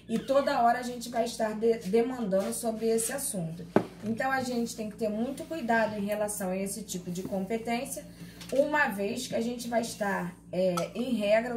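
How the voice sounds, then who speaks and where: A woman's voice: mid-range voice, a little hiss or hum. Chatty Carioca woman teaching law. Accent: Carioca Portuguese. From Rio de Janeiro, Brazil. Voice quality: chatty and expressive, teasing students by name. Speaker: law lecturer. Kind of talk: live online class, reading chat questions. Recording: echoey room with faint ambience.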